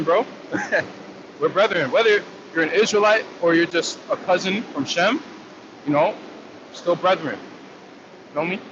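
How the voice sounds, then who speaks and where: A man hosting a casual livestream, rambling in a loose, slangy way as he answers viewer comments, full of fillers and restarts. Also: a little high. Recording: dull lo-fi stream audio with faint noise.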